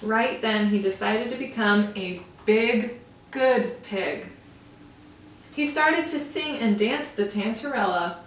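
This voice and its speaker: A woman teacher reading a storybook aloud to young children, with slow, expressive, drawn-out emphasis. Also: on the high side, slight background noise. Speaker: first-grade teacher. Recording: roomy echo, from far off.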